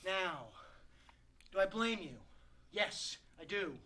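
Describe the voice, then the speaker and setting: A man's voice: high voice, lively intonation, takes it slowly, bright, forceful tone, a little hiss or hum, exaggerated voice. A man performing a theatrical monologue as an exasperated, wisecracking struggling actor, sarcastic and colloquial. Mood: wry, resigned.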